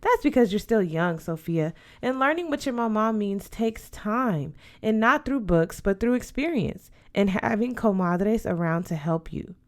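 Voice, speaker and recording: A woman's voice: mid-range voice, expressive, measured pace, few pauses, lightly acted. A woman voicing a proud, affectionate older godmother in a storybook, advising with emphasis. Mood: gently advising.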